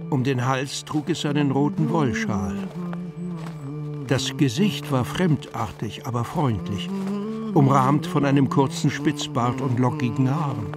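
Guy narrating a storybook audio drama, medium pitch, music going behind him.

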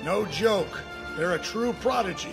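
An actor in an anime dub playing a bumbling, loud, earnest police detective with a folksy, blustering manner. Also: male voice, sits high, lively intonation, unhurried, gravelly, loud music behind the voice, full character voice. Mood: earnest, formal.

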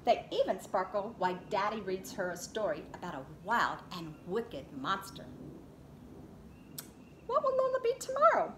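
A middle-aged woman speaks to small children as their teacher, in an expressive storytime style. Her voice sits fairly high and swings widely in pitch. It is recorded from a short distance in an echoey space. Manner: expressive, addressing young children. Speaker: preschool teacher reading aloud. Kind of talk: children's picture book read-aloud. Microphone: camera a short distance away. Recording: roomy echo, clear background noise.

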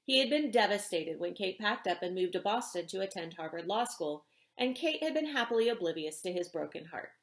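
A middle-aged woman reads fiction aloud as its author. Her pitch moves in a lively way over a medium register. The room adds noticeable echo around her voice. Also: fairly quick. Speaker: author reading from own novel. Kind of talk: expressive read-aloud narration of a romance novel. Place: indoor room with bookshelves. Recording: roomy indoor echo.

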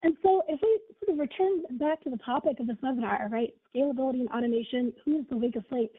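Husky-voiced woman on a tinny line. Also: high voice, fast talker. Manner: upbeat, uses many fillers like "you know" and "right". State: scratchy voice from illness. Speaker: webinar presenter, product manager. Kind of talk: presenting slides in a webinar. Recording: tinny phone-line audio.

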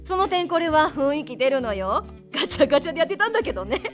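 Sharp-tongued bar mama, high voice, bouncy delivery. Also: female voice, sing-song, fast, faint music behind. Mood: breezy. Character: brash flashy snack-bar mama, bossy adult woman. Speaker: snack bar owner. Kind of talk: audio drama acting.

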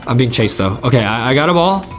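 A young man hosting a gaming video with excited, repetitive commentary, talking straight to his viewers. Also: medium pitch, moderate pace. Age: young adult. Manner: animated, repetitive, addressing viewers. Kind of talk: excited let's-play commentary. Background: game music.

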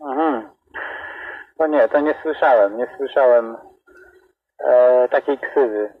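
A man's voice: medium pitch, slow pace, slightly rough, no room sound. Man, CB radio operator, casual chat, tinny narrow radio sound. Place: heard through an external radio speaker.

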